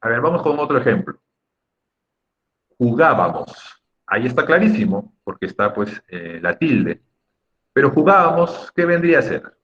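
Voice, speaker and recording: A man's voice: mid-range voice, sing-song, moderate pace, pauses often, fairly bright tone, lo-fi sound. A man teaching a class in an emphatic, didactic way, repeating key words and checking in with short tag questions. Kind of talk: Spanish lesson on accent rules for students.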